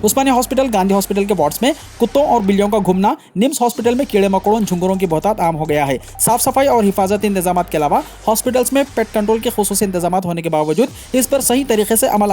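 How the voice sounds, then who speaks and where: A man's voice: high voice, animated, quick pace, hardly any pauses, warm tone, slight background noise. A man reading scripted news in a formal manner, delivering headlines and stories as a news reader.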